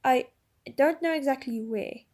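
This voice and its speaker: A woman's voice: high voice, unhurried, darkish tone. Brief: dogged, gloomy marsh creature, struggling for air, read by a woman. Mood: stubborn. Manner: stout, short of breath. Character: gloomy, stubborn male marsh-wiggle.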